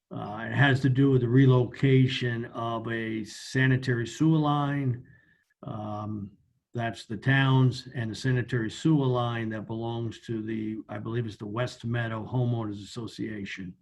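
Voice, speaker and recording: An American man giving informal, measured and somewhat halting explanations in an online meeting. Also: medium pitch, gravelly, a little mellow. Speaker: committee member and meeting host. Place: remote video meeting.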